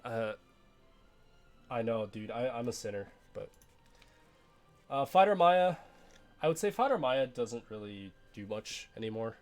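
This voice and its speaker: Young man, slightly hoarse, laid-back game talk, lots of ums and restarts, desk mic. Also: medium pitch, measured pace, long pauses, faint music behind. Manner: relaxed, frequent fillers and restarts. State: hoarse from illness. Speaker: game streamer. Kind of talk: casual game commentary.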